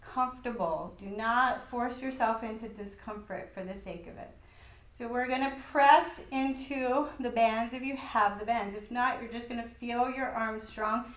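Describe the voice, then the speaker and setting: A woman with a croaky voice guiding a gentle yoga class in an old studio, cueing calmly with light laughs. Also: on the high side, measured pace, roomy sound, rough recording. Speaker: yoga instructor.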